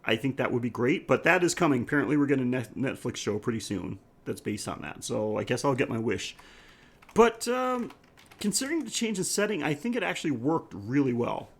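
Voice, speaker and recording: A man co-hosting a podcast, discussing film casually and haltingly as he thinks aloud. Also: medium pitch, sing-song, husky. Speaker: podcast co-host.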